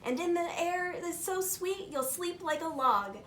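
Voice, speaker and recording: A woman reading a children's picture book aloud with expressive, slightly informal storytelling. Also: very high-pitched, measured pace, slight background noise.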